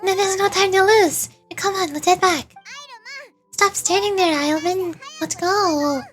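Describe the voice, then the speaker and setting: A woman's voice: extremely high pitch, measured pace. A woman voicing a small, youthful, earnest fairy girl in video game dialogue. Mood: urgent.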